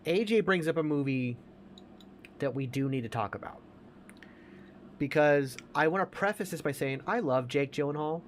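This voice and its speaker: A man's voice: on the high side, expressive, normal speed, long pauses. Opinionated, profane man over background noise. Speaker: podcast co-host. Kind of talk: casual chat about movies.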